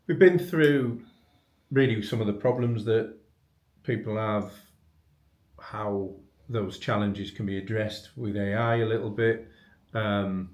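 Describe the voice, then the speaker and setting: Male interviewer for a business webinar, conversational and unscripted, lower-pitched, in a reverberant room. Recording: echoey room.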